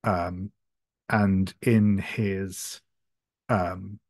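A man with a lowish voice improvises a scene as a player in a role-playing game. He speaks very slowly and hesitantly, pausing often, in a dry sound. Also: fairly even intonation, darkish tone, dry recording. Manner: hesitant, searching, many pauses. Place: indoor room at home, video call.

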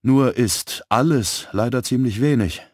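A man voicing a brisk, frank young woman who works as a police typist. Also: medium pitch, no room sound, clean studio sound, pitched above the performer's own voice, natural voice. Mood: lively.

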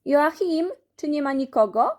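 Woman doing a cheeky scrappy schoolboy for a kids book, high and lively, taunting. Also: unhurried. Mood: brash, taunting. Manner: taunting, brash. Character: cheeky, combative schoolboy challenging his classmate.

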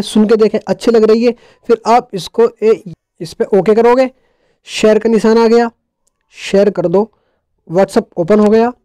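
A man's voice: high-pitched, dark tone, dry recording. A man narrating a casual how-to tech tutorial in a playful, jokey, colloquial way.

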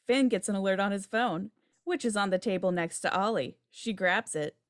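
A woman narrates stage directions from a script. Her voice is medium in pitch, with a dry sound free of echo. She reads for a table read held on a video call. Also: normal speed. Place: home room on a video call.

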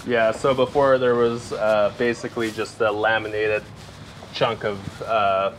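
A man with a lowish voice explains repairs in a laid-back, halting manner. Faint background noise runs under his voice. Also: level tone. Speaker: co-owner on the refit. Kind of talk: casual chat.

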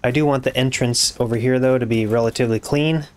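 A hoarse young man with a raspy voice, casually commenting on his game as he builds, muttering to himself and swearing when things go wrong. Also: mid-range voice, fairly even intonation, fast. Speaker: gaming YouTuber. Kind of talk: casual let's-play commentary.

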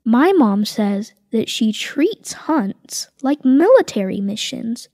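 A woman reading a children's picture book aloud, slow and expressive. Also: a little high, vocal fry, no echo, clean studio sound. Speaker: reader voicing tiger cubs.

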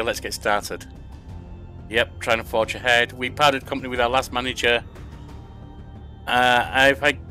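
Older male let's-player, bright tone, faint music under the voice; casual commentary from a desk at home. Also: mid-range voice. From England. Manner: reads interview questions aloud and answers them himself. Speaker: gaming YouTuber.

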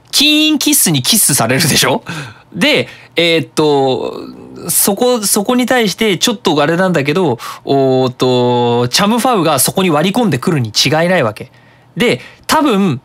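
A man hosting a web radio show, explaining his opinions in a casual, animated monologue. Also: on the high side.

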